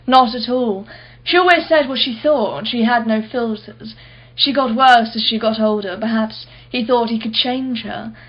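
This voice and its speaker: A wealthy society woman in a radio drama, played by an actress, speaks in a fairly high voice with a clipped, imperious manner. She is blunt, brittle and guarded. The recording is somewhat dull and muffled. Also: unhurried. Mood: dry, candid. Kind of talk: radio play acting.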